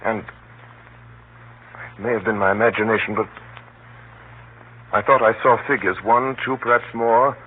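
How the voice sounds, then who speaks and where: Male actor as hunted hero-narrator for 1930s radio drama: low, tense, deliberate delivery over a noisy, scratchy broadcast. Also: steady tone, phone-quality sound, close to an everyday voice. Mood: uneasy, urgent. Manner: dramatic, deliberate, measured pauses. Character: hunted man recounting his flight, tense and grave.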